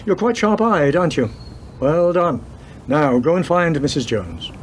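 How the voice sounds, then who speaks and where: A man playing a sober, authoritative police inspector in a radio play, speaking in a measured, firm way. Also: fairly high pitch, very animated, heavy background noise. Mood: brisk, commanding.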